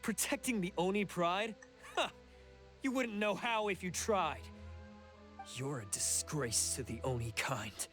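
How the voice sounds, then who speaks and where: A man's voice: sits high, expressive, measured pace, a bit bright. A man voicing a bitter, proud young male blue oni outlaw in an anime game, scornful, sardonic and intense. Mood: scornful, aggrieved. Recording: music under the voice.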